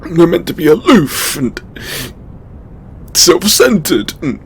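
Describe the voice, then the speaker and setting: An actor playing a distraught, overburdened god in an emotional audio roleplay, a man speaking tearfully and haltingly with exasperated swearing. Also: fairly high pitch, big pitch swings, a little hiss or hum, heavily acted. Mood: apologetic, tearful. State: crying. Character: distraught, overburdened male god venting to his devotee.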